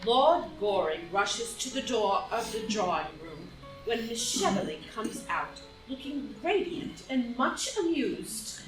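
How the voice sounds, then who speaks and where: A woman's voice: fairly high pitch, very animated, slow pace. A woman reading stage directions as scripted narration for a play. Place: indoor room, live in-person reading. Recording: roomy live reading, distant mic, music.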